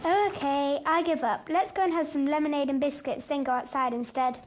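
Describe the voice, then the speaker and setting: A woman's voice: high voice, steady tone, a little fast, a little hiss or hum, close to an everyday voice. A woman playing an eager, bossy schoolgirl who leads her friends in a radio play.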